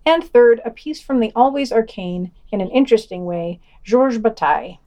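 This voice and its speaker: A woman's voice: fairly high pitch, animated, moderate pace, mellow, dull audio. A woman reading scripted listings and short reviews as a podcast news reader.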